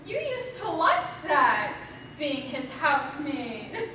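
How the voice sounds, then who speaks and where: A woman's voice: high-pitched, wildly swooping pitch, slow pace, heavily acted. A woman acting an indignant, defensive new mother in a stage comedy, with a theatrical, exasperated delivery. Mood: snide, dry. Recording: very echoey, noisy room, far from mic.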